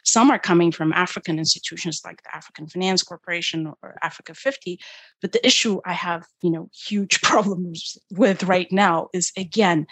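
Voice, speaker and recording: Earnest middle-aged woman, dry low voice. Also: no echo. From Ethiopia. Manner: earnest, emphatic, frequent "you know" and "right?". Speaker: guest, development finance expert. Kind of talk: interview conversation.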